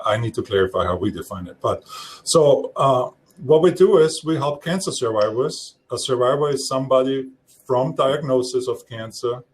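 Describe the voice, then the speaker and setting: Middle-aged austrian guy talking through his work, calm steady delivery, accent clear. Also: mid-range voice. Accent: Austrian German. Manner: explanatory, measured, some restarts. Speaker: guest speaker. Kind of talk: live-streamed talk.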